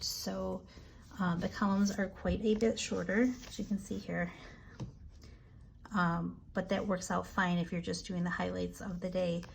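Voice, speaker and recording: Slow, gravelly-voiced woman chatting while planning. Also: fairly low pitch, a little hiss or hum. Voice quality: raspy. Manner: slow, relaxed, chatty with frequent ums and asides. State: hoarse from allergies. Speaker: planner vlogger. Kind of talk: casual plan-with-me narration.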